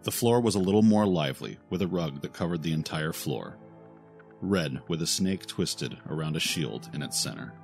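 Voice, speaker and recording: A man narrating a scripted fantasy audio drama in a solemn, deliberate way. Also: very deep voice, animated, vocal fry. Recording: faint music behind the voice.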